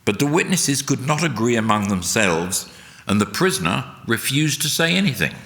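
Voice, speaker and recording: A man reading a solemn, dramatic narration in a deliberate, emphatic oratorical way. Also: mid-range voice, big pitch swings, a bit bright. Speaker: reader at a church service. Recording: long hall reverb.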